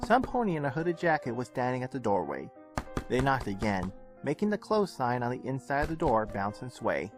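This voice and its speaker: Animated man narrating a story. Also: mid-range voice, brisk. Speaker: audiobook-style reader of fan fiction. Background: background music.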